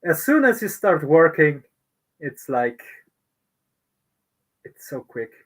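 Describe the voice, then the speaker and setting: Man, thirties, stream guest, slow unhurried talk, frequent laughs. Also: fairly high pitch, sing-song. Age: 30s. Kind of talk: casual live chat.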